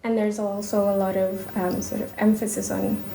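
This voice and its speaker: Woman, from Indonesia, interview guest, gravelly, many ums and restarts, echoey noisy recording. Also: medium pitch, level tone, moderate pace. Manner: thoughtful, many fillers and restarts. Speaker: researcher guest. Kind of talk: casual interview talk. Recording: echoey room with noise.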